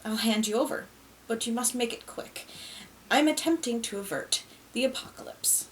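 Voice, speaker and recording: A woman's voice: on the high side, normal speed, a little hiss or hum, lightly acted. A woman voicing a haughty male angel who speaks through a medium, lofty, precise and testy.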